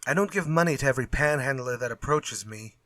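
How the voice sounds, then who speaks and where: An actor playing a glib, unafraid writer in a retro radio drama, with flippant, old-fashioned phrasing. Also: male voice, medium pitch, fast, a bit bright, no echo, studio quality, natural voice. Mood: indignant. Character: glib, unafraid male writer sparring with his villain.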